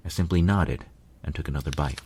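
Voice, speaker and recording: Deep-voiced man narrating a story. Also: brisk, a little mellow, slight background noise. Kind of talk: first-person scripted story narration.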